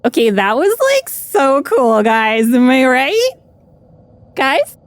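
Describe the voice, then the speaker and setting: A woman's voice: high voice, big pitch swings, slow, no room sound. A woman playing a tabletop roleplaying game, casually improvising and describing what her character does.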